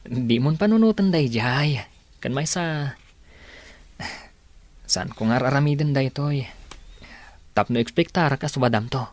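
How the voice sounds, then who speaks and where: A man's voice: medium pitch, unhurried, pauses often, darkish tone, no echo, close to an everyday voice. An actor with a Filipino accent playing a kind, devoted, teasing man in a radio drama. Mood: tender, teasing. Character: kind, devoted man, helpful older brother, teasing suitor.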